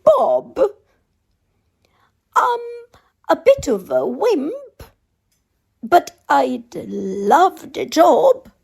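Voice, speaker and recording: Woman voicing a timid, high-pitched, whimpering male cook. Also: big pitch swings, very slow, gravelly, pauses often, darkish tone, heavily acted. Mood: timid, eager. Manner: trembling, plaintive, dramatic. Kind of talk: children's storybook character voice.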